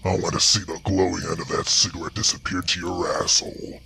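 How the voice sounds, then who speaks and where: A man voicing an old, ragged, angry male bear with a rough, raspy growl. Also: sits low, flat delivery, brisk, music in the background, pitched below the performer's own voice. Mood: threatening.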